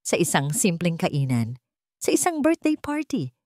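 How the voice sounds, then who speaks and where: Filipino lady reading a drama story, lowish voice, moves around a lot, really dry sound. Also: huge pitch swings, a little slow, darkish tone, dry recording. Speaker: narrator.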